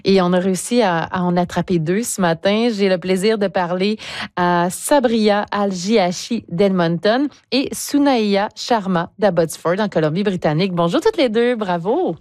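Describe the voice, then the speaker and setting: A woman's voice: mid-range voice, moderate pace, darkish tone, no echo. Woman radio host, dry. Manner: friendly, encouraging, light laughs. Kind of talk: broadcast interview.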